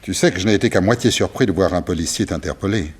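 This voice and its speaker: An actor playing a sinister, gloating crime boss with a theatrical, husky delivery in a French radio serial. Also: male voice, low voice, fast. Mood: wary. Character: sinister male crime boss who drinks blood.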